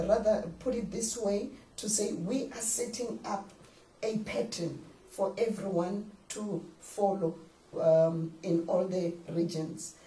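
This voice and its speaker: An older South African woman, a pastor, speaking measured and formal in a studio interview, sometimes naming herself in the third person. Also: medium pitch, mellow, echoey room, recorded from a distance, low-quality recording.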